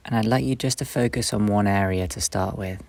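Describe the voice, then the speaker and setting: A man leading a guided meditation, speaking slowly and gently in short, soft phrases with long pauses. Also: fairly low pitch, vocal fry, no room sound. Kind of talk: guided meditation, instructional and soothing.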